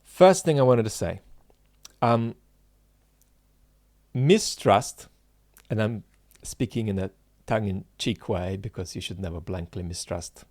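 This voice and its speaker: A man in his forties, a political philosopher hosting his channel, talking unscripted to viewers in a halting, searching way with many fillers and restarts, earnestly stressing key points. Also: on the low side, a little mellow, no room sound. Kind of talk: unscripted solo talk to viewers.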